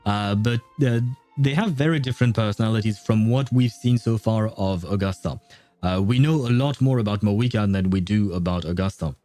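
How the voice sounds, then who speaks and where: A man's voice: fairly low pitch, a little fast, dark tone, no room sound, soft background music. A man giving casual livestream commentary on a game, chatty and musing, sometimes reading dialogue aloud. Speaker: game streamer.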